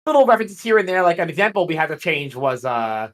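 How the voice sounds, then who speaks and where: A man's voice: fairly high pitch, sing-song, fast, a bit bright. A man chatting casually on a livestream, enthusiastic and rambling as he talks about creative work as a guest.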